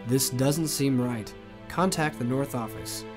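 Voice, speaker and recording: A man playing a nervous, earnest, soft-hearted young Santa in a Christmas story. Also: a little slow, music in the background, natural voice. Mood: puzzled, concerned. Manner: muttering to himself, hesitant, booming out ho-hos. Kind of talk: character acting in a Christmas audio story.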